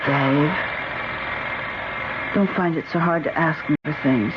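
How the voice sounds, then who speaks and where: An actress playing a devoted, anxious wife in old-time radio drama, with a gentle, coaxing and pleading manner. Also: female voice, sits low, slow pace. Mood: tender, pleading. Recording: noisy, muffled old radio broadcast.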